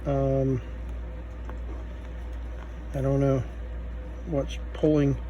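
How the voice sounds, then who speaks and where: A man giving unscripted hands-on repair commentary, halting and thinking aloud as he works. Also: medium pitch, level tone, mellow, lo-fi sound. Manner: halting, muttering thoughts aloud while working. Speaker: vintage electronics repair video host. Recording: faint background noise.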